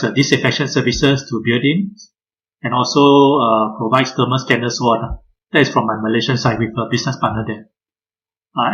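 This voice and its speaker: A man, giving a measured webinar presentation over a video call, pausing often on "uh". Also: medium pitch, a bit bright, slight background noise, low-quality recording. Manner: measured, frequent "uh" hesitations. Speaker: guest speaker.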